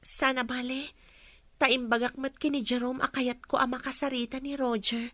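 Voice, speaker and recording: A woman's voice: high-pitched, level tone, normal speed, pushed and bright, dry recording, heavily acted. An actress playing an impulsive young woman wounded in love, in a radio drama. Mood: regretful. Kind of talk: Ilocano radio drama acting.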